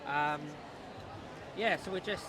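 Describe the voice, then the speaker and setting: A man's voice: high-pitched, slow, a crowd in the background. Chatty man with a Cardiff accent. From Wales. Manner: chatty, upbeat, rambling. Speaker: stall owner. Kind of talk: casual interview at a convention. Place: busy convention hall. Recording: loud background noise.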